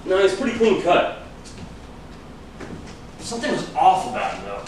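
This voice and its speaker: Casual, probing detective played by a man, animated pitch, musing stage delivery; distant mic, noisy room. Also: normal speed, heavy reverb. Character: male detective, teasing, probing friend of another investigator. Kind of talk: stage acting at a script reading. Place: large room, staged reading recorded from afar.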